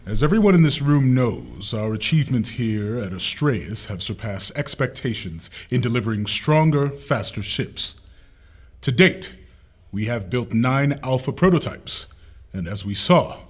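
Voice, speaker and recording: A man's voice: mid-range voice, lively intonation, moderate pace, breathy edge. A man playing an admiral who leads a classified summit in a clipped, businesslike tone. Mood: brisk. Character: brisk male admiral leading a secret summit.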